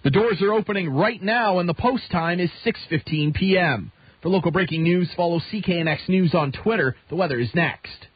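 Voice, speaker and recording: A man's voice: high-pitched, a little fast, husky, dry recording, dull audio. A Canadian man reading a scripted newscast as a radio news anchor. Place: radio studio.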